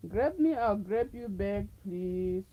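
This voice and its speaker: A woman with a deep voice hosting a livestream, making slow, repetitive, coaxing appeals to her viewers. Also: expressive, muffled recording. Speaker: livestream host. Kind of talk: casual livestream chat to viewers.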